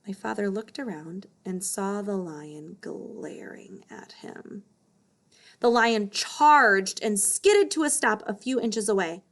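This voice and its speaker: A woman reads a children's book aloud as narrator. Her voice sits at a medium pitch for a woman, in a storytelling style meant for children. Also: slow pace. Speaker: storybook reader.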